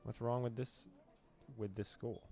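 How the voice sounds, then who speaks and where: A man's voice: medium pitch, little pitch movement, a little slow, dark tone. A man reading a horror visual novel aloud, translating its lines with dramatic narration and amused asides. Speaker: gaming YouTuber.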